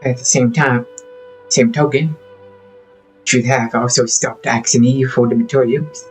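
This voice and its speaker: A man's voice: medium pitch, steady tone, a little slow, low-quality recording. A man reading dialogue choices aloud on a live game stream. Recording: game music behind the voice.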